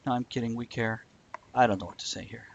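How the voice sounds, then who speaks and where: A man's voice: mid-range voice, steady tone, fast, dull audio, slight background noise. A man co-hosting a livestream, chatting casually in a joking, bantering way. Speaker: livestream co-host. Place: indoors, live stream.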